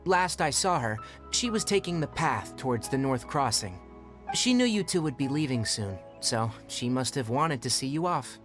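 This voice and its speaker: A man voicing a composed, astute young forest ranger in a video game, speaking in a measured, matter-of-fact, articulate way. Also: medium pitch, very animated, fairly bright tone. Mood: calm, kindly. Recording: background music under the voice.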